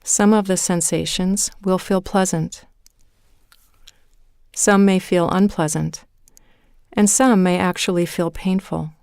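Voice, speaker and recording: Smooth, low-voiced woman guiding meditation. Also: no room sound, studio quality. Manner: calm, slow, measured, with deliberate pauses. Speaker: meditation guide. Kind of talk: guided meditation, scripted narration.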